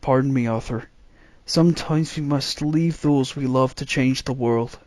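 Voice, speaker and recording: A man's voice: mid-range voice, measured pace, darkish tone, no room sound, natural voice. A man voicing a resolute, brooding young idealist in a storybook, earnest and assertive.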